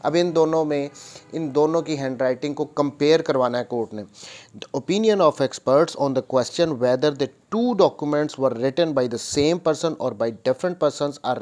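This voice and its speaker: A man with a Pakistani accent teaches like a law lecturer, at an unhurried pace and a medium pitch. He reads formal text out, then restates it step by step, switching between two languages as he explains. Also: a little mellow. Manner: explanatory, repeats and rephrases points, switches between Urdu and English. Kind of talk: lecture explaining statute sections, reading out then paraphrasing.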